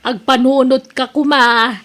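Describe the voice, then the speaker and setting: A woman acting a high-voiced, pious Ilocano mother. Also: fairly even intonation, measured pace, fairly bright tone. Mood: earnest, admonishing. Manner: earnest, scolding, preachy. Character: older mother, firm, pious, admonishing her grown son. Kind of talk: Ilocano radio drama acting.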